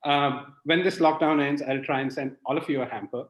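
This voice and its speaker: Relaxed male host in an echoey room. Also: on the high side, fairly quick. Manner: relaxed, light-hearted questioner. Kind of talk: hosting a live online interview. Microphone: video call. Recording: roomy, dull lo-fi call audio.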